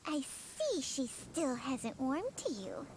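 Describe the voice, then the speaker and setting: A woman voicing a tiny, excitable, childlike floating fairy companion in a video game, exclamatory and girlish. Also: slow, noisy background, full character voice. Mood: wry. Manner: exclamatory, squeaky, urgent.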